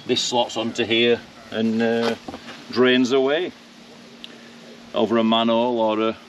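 A man's voice: medium pitch, little pitch movement, unhurried. A man with a Barnsley accent, showing his motorhome in a plain, matter-of-fact way. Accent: Barnsley, Yorkshire. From Yorkshire, England. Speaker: motorhome owner. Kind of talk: casual walkaround tour. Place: outdoors at a campsite. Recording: distant voice, wind and outdoor noise.

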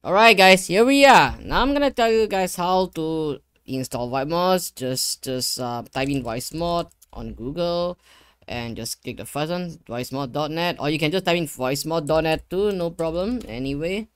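A young man giving a casual software tutorial, talking himself through each step in an informal, rambling way. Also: on the high side, normal speed, clear, resonant tone, no room sound. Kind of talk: casual screen-share tutorial. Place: indoor living room gaming setup. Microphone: close desktop USB microphone.

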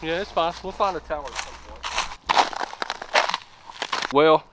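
A man's voice: on the high side, a little slow, very noisy. A man in his thirties with a Southern accent, chatting easily outdoors while hiking, filmed up close in the woods. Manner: relaxed, easygoing, drawls "fixing to". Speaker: vlogger. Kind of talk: casual hiking vlog chat.

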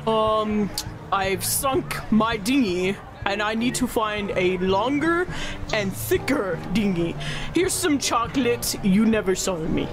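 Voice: medium pitch, lively intonation, slow pace, music in the background. A performer voicing a daft, cheerful, rambling pirate captain in an improvised comedy dub. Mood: cheeky, daft. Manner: rambling, silly innuendo.

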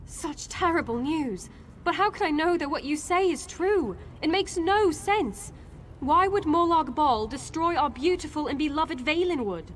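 A woman's voice: high voice, lively intonation, a little slow, slight background noise. A woman playing a genteel, pitying female elf elder in a fantasy video game, with a dramatic manner. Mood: distressed.

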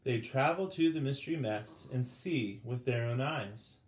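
A middle-aged man reading a children's picture book aloud with dramatic pauses, turning to the listeners with questions. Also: medium pitch. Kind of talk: expressive children's storybook read-aloud. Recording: roomy indoor sound with faint background noise.

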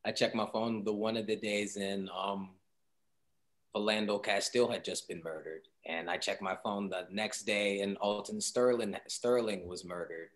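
A young man from Seattle, a guest artist, talking in a soft, reflective way with many fillers on a video call interview. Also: on the low side, steady tone, normal speed. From Seattle, Washington. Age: young adult. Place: home room, indoors.

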